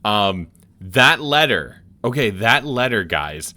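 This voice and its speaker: A man's voice: fairly low pitch, big pitch swings, slow, pushed and bright. A man commenting casually on a game he is playing, rambling with many restarts. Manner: rambling, full of restarts and filler. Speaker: let's play streamer.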